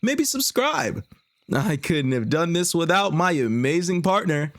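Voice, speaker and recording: A man hosting an audio roleplay channel speaks to listeners in an upbeat sign-off. His pitch rides high and moves around a lot. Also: very animated, unhurried, dry recording.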